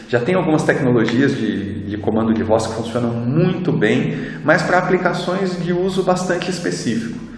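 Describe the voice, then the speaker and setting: A man with a Brazilian accent gives an informal explanatory lecture as an instructor, in a conversational way with frequent tag questions. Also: mid-range voice. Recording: very echoey reverberant room, background noise.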